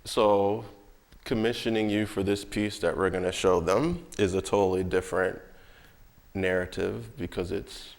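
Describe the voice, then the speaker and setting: A man's voice: deep voice, measured pace, creaky. A man conducting a casual interview, putting probing questions to his guest in a conversational way. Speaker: interviewer and commissioning client.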